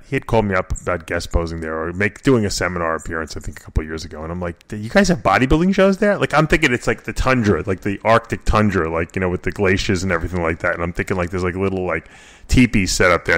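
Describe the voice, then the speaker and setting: A man's voice: low voice, sing-song, quick pace, a bit bright. A man hosting a casual radio talk show, rambling and joking with plenty of fillers. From Florida. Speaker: host.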